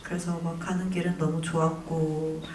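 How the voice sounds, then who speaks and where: Woman actress musing slowly, low pitch, roomy hall, a bit off mic. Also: little pitch movement, a little mellow, slight background noise. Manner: reflective, halting, thoughtful. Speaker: actress guest. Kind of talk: film Q&A answer. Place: cinema hall. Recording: echoey cinema hall, mic from a distance.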